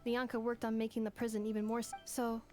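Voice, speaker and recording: Woman as a high-voiced, formal machine girl. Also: level tone, darkish tone, soft background music, lightly acted. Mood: explanatory. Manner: formal, measured, literal.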